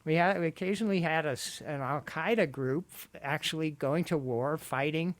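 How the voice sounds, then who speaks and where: A man in a casual political radio discussion, speaking haltingly and laughing often. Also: on the high side, dry recording. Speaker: radio discussion guest or co-host. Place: radio studio.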